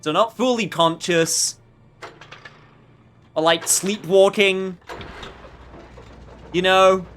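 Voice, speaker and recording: A man giving casual, rambling, jokey live commentary while he plays a video game, with animated outbursts. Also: high-pitched, very slow, long pauses, a little hiss or hum. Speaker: streamer playing a video game.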